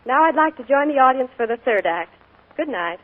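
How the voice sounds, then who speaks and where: A woman's voice: sits high, animated, a little fast, very noisy. Cheerful young woman, radio guest. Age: young adult. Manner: cheerful, modest, earnest. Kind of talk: scripted radio interview. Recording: tinny 1940s radio broadcast.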